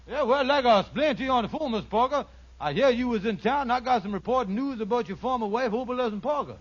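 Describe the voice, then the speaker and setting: A blustery con man from old radio comedy, high husky voice, stammers, folksy bad grammar. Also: fast talker. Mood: smooth-talking. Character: scheming, fast-talking con man, male lodge leader. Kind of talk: 1940s radio sitcom acting. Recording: muffled vintage radio recording.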